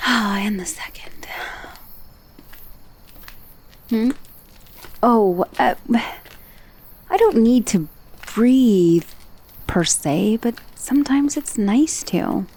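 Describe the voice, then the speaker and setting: A woman's voice: on the high side, slow, long pauses, darkish tone, husky, heavily acted. A woman playing a friendly, chatty dullahan, a magical severed head, in an ASMR roleplay with casual, hesitant asides. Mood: relieved, wry. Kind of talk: ASMR roleplay acting, addressing the listener.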